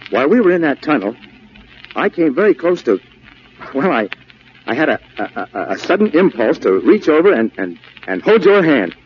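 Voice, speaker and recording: An actor playing a shy, flustered gentleman suitor in 1940s radio comedy, stammering and hesitant. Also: fairly high pitch, very animated, normal speed, noisy background. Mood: nervous, hesitant. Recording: muffled old radio broadcast.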